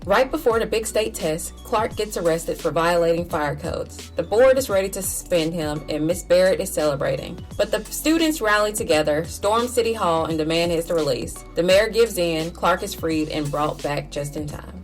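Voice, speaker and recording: A woman with a fairly low voice presents a film recap and review. She delivers scripted narration and commentary as the host. Soft music plays faintly underneath her. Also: animated, normal speed, few pauses.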